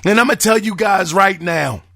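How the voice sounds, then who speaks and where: A man hosting his own podcast, talking in a hoarse voice and often shouting with excitement. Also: high voice, moderate pace. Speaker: podcast host. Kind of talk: casual podcast monologue.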